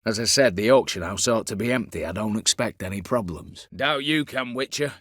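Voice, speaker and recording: A man's voice: fairly low pitch, fairly quick, fairly bright tone, dry recording, studio quality. An actor voicing a scheming, vengeful disinherited nobleman turned heist leader in a video game, with a colloquial, wry, conspiratorial way of talking. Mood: businesslike, explaining. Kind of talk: video game character dialogue.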